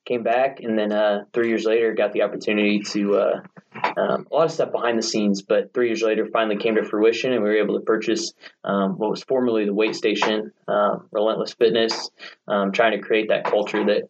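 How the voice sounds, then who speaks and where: A man in his late twenties with a low voice recounts his path into fitness, rambling easily with many "ums." The recording is dull and carries a faint hiss. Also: fairly quick. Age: late 20s. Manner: relaxed, filler-heavy storytelling. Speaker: guest, gym owner. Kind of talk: casual interview storytelling. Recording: dull lo-fi sound with faint noise.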